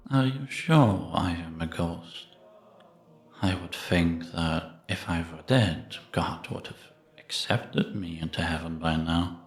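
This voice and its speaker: A patient, contemplative priest, acted by a man in a cinematic audio drama. His low voice is slow and measured, ringing in an echoey space as he gently probes. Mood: serene, earnest. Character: gentle, patient, probing male priest in a sanctuary. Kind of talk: cinematic audio roleplay acting. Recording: echoey, reverberant room.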